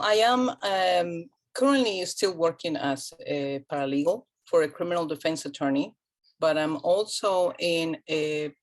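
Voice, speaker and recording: Low-voiced woman, hesitant. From Colombia. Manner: hesitant, many ums and restarts. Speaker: guest. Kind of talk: casual interview conversation.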